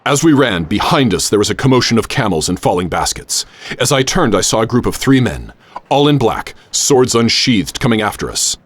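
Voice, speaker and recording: An actor playing an adventurous, wary gentleman professor, telling his exploits in measured, literary radio theater narration. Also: medium pitch, big pitch swings, no echo. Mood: dramatic. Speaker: narrator and lead character. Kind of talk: radio theater, dramatic first-person narration. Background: music.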